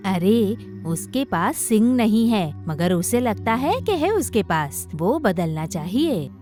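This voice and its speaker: A woman voicing a gossipy, flattering hen in a children's cartoon, with a sly, drawn-out delivery. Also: medium pitch, soft background music. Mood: disapproving.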